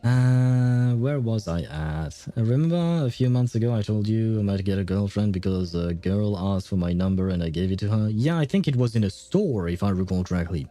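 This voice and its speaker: A man's voice: on the low side, normal speed, hardly any pauses, dark tone, dry recording, soft background music. Chatty young man streaming a game. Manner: chatty, laughs often, thinks aloud while reading. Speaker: gaming livestreamer. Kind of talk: casual livestream chat.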